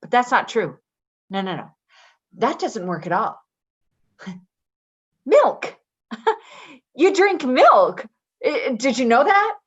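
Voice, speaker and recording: An actress playing a brash, chatty, defensive caregiver in a naturalistic play reading, rambling and halting as she goes. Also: a little high, sing-song, breathy edge, dull audio, heavily acted. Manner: rambling, halting, with nervous laughter. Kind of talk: naturalistic readers theater acting.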